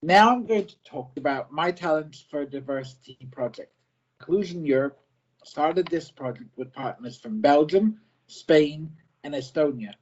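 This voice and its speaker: A man, a self-advocate working as an easy-to-read editor, giving a prepared presentation in short simple sentences, slow and careful, with some restarts. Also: fairly high pitch, a little mellow, husky, dull audio. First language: not French; French is a second language.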